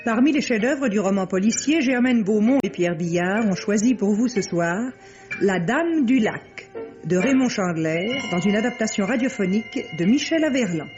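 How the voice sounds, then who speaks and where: A woman's voice: mid-range voice, animated, normal speed. A woman working as a radio announcer, formally and articulately reading scripted programme credits. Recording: dull vintage radio recording. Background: music.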